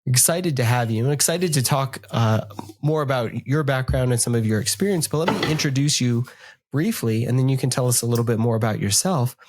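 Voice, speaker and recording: A man's voice: mid-range voice, a little fast, noisy background. A man hosting a podcast, introducing a guest and asking questions in a conversational style. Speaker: podcast host. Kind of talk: podcast introduction and interview talk.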